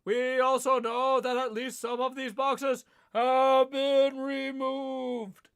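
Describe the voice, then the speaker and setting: A man reading aloud, voicing an elderly scholarly professor who speaks in a measured, solemn way. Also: very high voice, monotone, fairly bright tone, smooth, full character voice. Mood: planning. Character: elderly scholarly professor leading vampire hunters.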